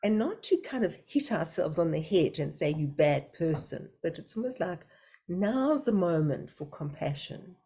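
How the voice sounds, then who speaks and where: A woman facilitating an online group discussion, speaking gently and thoughtfully over a video call. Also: lowish voice, sing-song, moderate pace, slightly rough.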